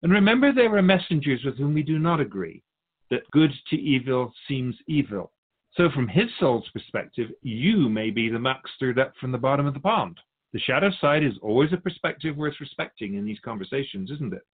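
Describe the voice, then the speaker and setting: A man speaking as a spiritual guide, answering in a measured, assured, didactic way in philosophical conversation. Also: medium pitch, animated, slightly rough, phone-quality sound.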